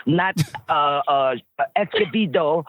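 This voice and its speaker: A woman with a very deep voice, telling stories bluntly and with profanity as a guest in a casual interview chat. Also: slow, no echo. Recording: tinny phone-like line.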